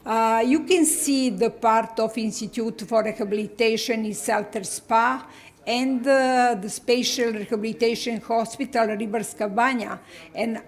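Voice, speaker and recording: A woman finishing a conference presentation in halting English, with many fillers. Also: fairly high pitch, steady tone. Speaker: conference speaker. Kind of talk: conference presentation, closing remarks.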